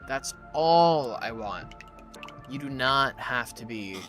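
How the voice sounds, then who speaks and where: A young man from Puerto Rico talks slowly and casually while playing a game, drifting from topic to topic and reading messages aloud. Game music plays clearly behind his voice. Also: mid-range voice, animated, a bit bright. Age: young adult. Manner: rambling, easily distracted, reads chat aloud. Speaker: streamer. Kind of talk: casual gaming livestream chat.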